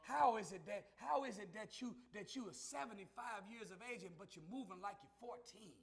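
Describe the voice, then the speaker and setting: A man preaching a sermon to a congregation, calling out to them and building to shouts. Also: high-pitched, a little fast, gravelly, slightly rough. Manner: call-and-response preaching, rising to shouts. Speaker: minister.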